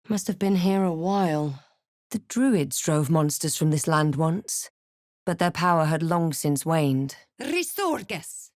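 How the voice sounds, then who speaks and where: A woman voicing a composed, snarky adventurer and spellcaster in a fantasy video game, with a deliberate, dramatic delivery. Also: lowish voice, darkish tone, dry recording, clean studio sound. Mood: wry, observant, then commanding incantation. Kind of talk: fantasy game voice lines and scripted narration.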